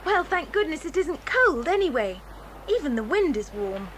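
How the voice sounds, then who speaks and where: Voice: high-pitched, sing-song, moderate pace, a bit bright. A woman playing a thoughtful, slightly anxious schoolgirl with a British accent in a children's audio drama. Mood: wondering. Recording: faintly noisy 1980s cassette drama.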